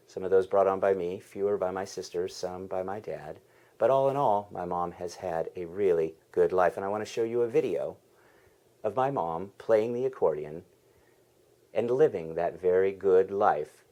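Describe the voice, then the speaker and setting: A man's voice: sits low, darkish tone. A man hosting a podcast, earnestly reading a scripted introduction. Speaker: podcast host.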